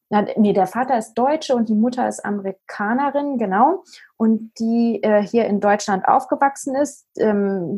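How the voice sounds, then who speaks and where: A woman co-hosting a podcast, talking through a book she read in a thoughtful, halting way with many fillers. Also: mid-range voice, a little mellow, slightly rough. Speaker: podcast co-host. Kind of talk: casual book chat.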